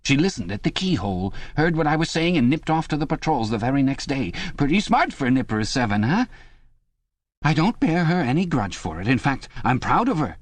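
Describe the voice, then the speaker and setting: A man voicing a panicky, servile middle-aged prisoner who talks in a high, pleading, blubbering way. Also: very animated, no room sound. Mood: proud. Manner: high, fast, wheedling and blubbering.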